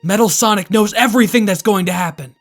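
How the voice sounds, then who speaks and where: A woman's voice: mid-range voice, fast, faint music behind, close to an everyday voice. A woman playing a self-doubting young male hedgehog hero in an audio drama, earnest, dejected and hesitant. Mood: dejected, upset. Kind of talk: fan audio drama acting.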